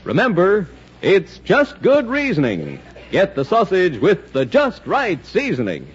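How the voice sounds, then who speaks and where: A man's voice: high-pitched, huge pitch swings, measured pace, background noise. A man with an American accent delivering scripted 1940s radio announcements in a polished, emphatic way. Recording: muffled 1940s radio recording with music.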